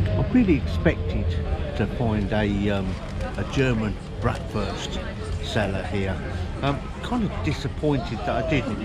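A middle-aged man vlogging casually to a handheld camera outdoors, chatty and animated. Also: mid-range voice. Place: outdoors at a winter market, later in a motorhome. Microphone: handheld camera at arm's length.

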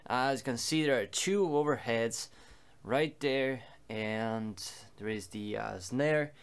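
Man, medium pitch, home-recording presenter, informal explainer, hesitant delivery, frequent ums. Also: smooth. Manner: halting, hesitant delivery with frequent long filler pauses. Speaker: home-studio musician presenting his recording process.

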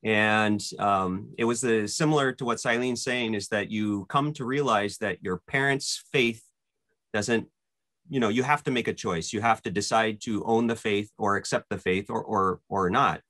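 A man's voice: lowish voice, normal speed, fairly bright tone, no room sound. A man in his thirties from Malaysia and the Philippines, telling his story reflectively as an interview guest. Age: 30s. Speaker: interview guest, worship band member. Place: indoors at home, on a video call.

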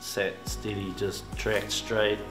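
A man's voice: lowish voice, flat delivery, slow pace. A man with a New Zealand accent, talking about a fishing trip in an easygoing drawl, tagging lines with "eh". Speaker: fisherman. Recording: roomy sound with music behind.

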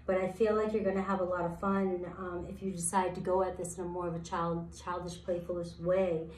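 A woman in her thirties with a slightly raspy voice, giving a casual tarot reading and rambling through her thoughts with many fillers. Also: medium pitch, mellow, a little hiss or hum. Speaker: tarot reader. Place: sparse room, indoors, seated at floor level. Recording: echoey empty room.

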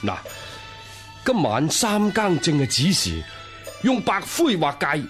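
An actor playing an imperious Taoist exorcist priest in a radio drama, with a solemn, incantatory and commanding delivery. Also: male voice, on the high side, huge pitch swings, takes it slowly, a bit bright, faint music behind, full character voice. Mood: grave. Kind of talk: Cantonese radio drama acting.

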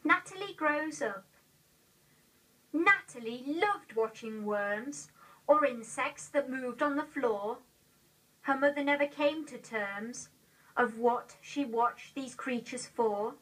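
An eighteen-year-old woman with an English accent recites a poem in a measured, carefully enunciated way on an old video recording. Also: high-pitched, fairly bright tone, husky, recorded from a distance, poor audio. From England. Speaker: poetry reader. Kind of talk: poetry recitation. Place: indoor room, seated.

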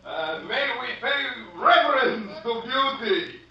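Heavily echoing old radio recording, grandiose male announcer, piercing bright voice, declamatory. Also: very high-pitched, lively intonation, heavily acted. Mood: grand. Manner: drawn-out, declamatory. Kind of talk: vintage radio acting. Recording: echoey, distant, muffled old radio recording.

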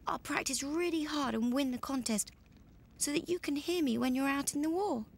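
A woman's voice: sits high, normal speed, creaky. A woman playing a sweet, devoted, childlike young girl in a video game cutscene, speaking earnestly. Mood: earnest, hopeful.

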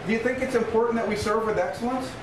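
High-pitched American pastor in a roomy space. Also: male voice, steady tone, quick pace. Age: middle-aged. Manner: emphatic, conversational, checks in with rhetorical questions. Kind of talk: informal church sermon. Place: small church room in a converted bar, indoors. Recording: roomy, distant mic, noisy background.

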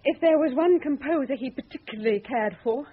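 A woman's voice: high-pitched, fairly quick, lightly acted. A woman acting in a radio drama as a scornful, sarcastic woman with a mocking, clipped way of questioning. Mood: cutting, corrective. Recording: dull, muffled radio drama recording.

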